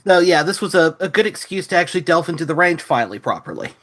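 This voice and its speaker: Man, fairly high-pitched voice, podcast guest, casual conversational delivery. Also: big pitch swings, quick pace, fairly bright tone. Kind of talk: casual chat.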